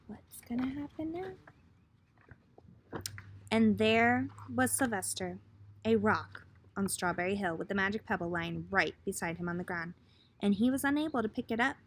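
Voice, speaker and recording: A woman reading a picture book aloud to children in an expressive, dramatic way with pauses. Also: mid-range voice, a little hiss or hum. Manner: expressive, asks listeners questions, dramatic pauses. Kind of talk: children's story read-aloud.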